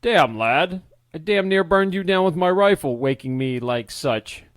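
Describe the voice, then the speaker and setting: A man's voice: medium pitch, normal speed, no echo, studio quality, close to an everyday voice. A man doing a stern blacksmith father. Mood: gruff. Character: stern middle-aged male blacksmith father.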